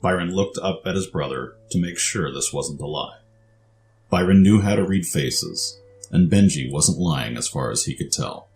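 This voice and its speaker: Deep-voiced man narrating a story. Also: lively intonation, normal speed, darkish tone, a little hiss or hum.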